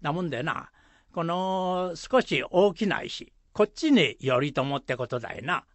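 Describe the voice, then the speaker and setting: A man playing a gruff, proud old former village headman with a Shizuoka accent in an amateur radio drama, talking in a rustic, hesitant way. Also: fairly high pitch, lively intonation, fairly bright tone, no room sound. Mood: pondering, self-important. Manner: slow, rustic, grunting hesitations.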